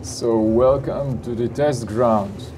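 A man's voice: medium pitch, steady tone, slow pace, background noise. A man presents flight simulator cockpits in an upbeat, salesy way, often adding "you know" and "of course". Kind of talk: product showcase narration. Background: background music.

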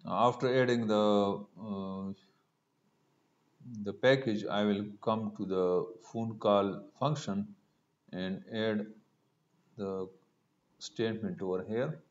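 A man giving a step-by-step screencast programming tutorial, halting as he thinks aloud while typing. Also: fairly low pitch, fairly even intonation. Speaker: programming instructor. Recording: dull lo-fi mic with noise.

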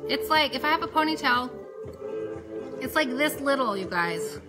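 A woman's voice: high voice, expressive, normal speed, over music, rough recording. A woman in her thirties hosting a casual beauty live stream, chatting in a rambling way as she works. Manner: chatty, rambling, talks while doing hair and makeup. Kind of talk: casual live tutorial chat.

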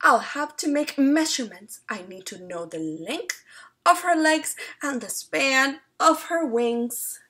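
A woman's voice: high voice, expressive, slow pace, pushed and bright, heavily acted. A woman reading a storybook aloud as a strange, eager travelling tinkerer man with a singsong, street-crier delivery. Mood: pondering.

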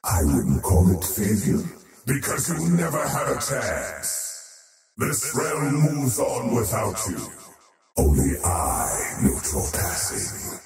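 An actor playing a power-hungry, faceless void creature for a video game, slow, portentous and theatrical in his gloating menace. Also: lowish voice, wildly swooping pitch, vocal fry, full character voice. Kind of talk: scripted video game character voice lines. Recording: heavily echoing, distant, loud music behind.

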